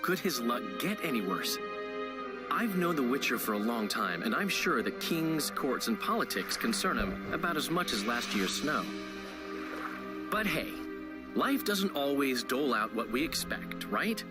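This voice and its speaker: A man's voice: mid-range voice, big pitch swings, a little slow. A man playing a wry, theatrical bard who narrates a fantasy game tale straight to the listener. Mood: wry storytelling. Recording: loud music under narration.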